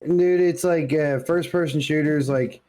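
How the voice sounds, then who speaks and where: A man's voice: fairly high pitch, measured pace, dark tone. A man co-hosting a podcast in casual conversation, blunt and opinionated in the way he talks. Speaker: podcast co-host.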